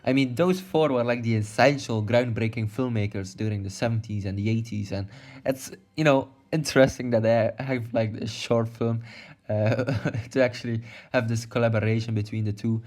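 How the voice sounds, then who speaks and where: A man's voice: medium pitch, steady tone, moderate pace, a little mellow. A young man with a Belgian accent rambling through a casual video commentary, full of fillers and restarts. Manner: rambling, many fillers and restarts, laughs often. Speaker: YouTube commentator. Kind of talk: casual video commentary partly read from notes.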